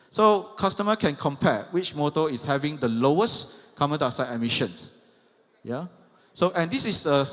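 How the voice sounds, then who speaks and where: A man's voice: fairly high pitch, a bit bright. A man presents at a trade show in a slightly raised voice, walking the audience through technical points and checking in often. His voice rings with the long reverb of a big exhibition hall. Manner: explanatory, frequent "yeah" and "right" checks. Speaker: conference presenter.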